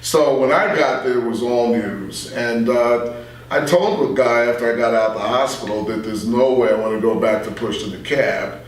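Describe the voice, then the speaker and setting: A man's voice: mid-range voice, moderate pace. Older man reminiscing in a very echoey room. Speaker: interview guest, radio veteran. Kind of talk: conversational reminiscing. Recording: very echoey room, distant microphone.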